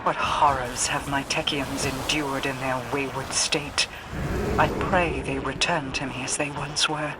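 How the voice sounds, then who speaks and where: A man's voice: medium pitch, flat delivery, a little slow, very noisy, close to an everyday voice. An actor playing a regal, ancient male ruler of his people, speaking in a lofty, disdainful way. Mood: solemn.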